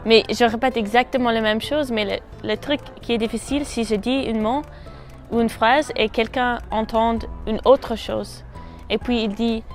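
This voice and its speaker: A woman's voice: a little high, steady tone, moderate pace, soft background music. A woman who is not a native French speaker, chatting casually about how people understand her in an interview.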